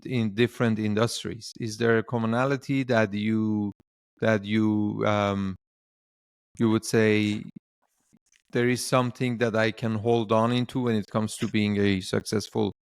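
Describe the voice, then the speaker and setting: Man hosting an interview show, smooth dry voice. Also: lowish voice, no room sound. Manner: friendly, hesitant questions with many fillers. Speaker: podcast host.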